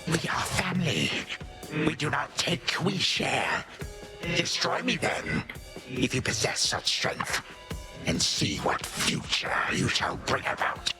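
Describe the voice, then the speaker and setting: An evil old titan god for a game, grandiose and condescending, talks real slow, music blasting under it. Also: male voice, medium pitch, wildly swooping pitch. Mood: scornful, bitter. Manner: slow, grandiose, archaic phrasing, sneering condescension. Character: imperious, menacing old male Titan god of time. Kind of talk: dramatic video game villain acting.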